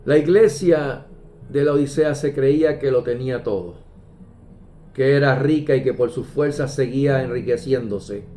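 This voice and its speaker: A man preaching a sermon, measured and emphatic, pausing often and quoting scripture. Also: mid-range voice. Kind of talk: slow deliberate sermon. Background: soft guitar music.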